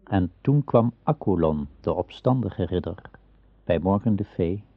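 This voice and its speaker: Solemn legend narrator, low, husky, unhurried, radio play. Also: male voice, animated, no room sound, soft background music, exaggerated voice. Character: solemn storyteller of old legends. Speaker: storyteller. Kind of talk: scripted radio drama narration. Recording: dull, dry radio play recording.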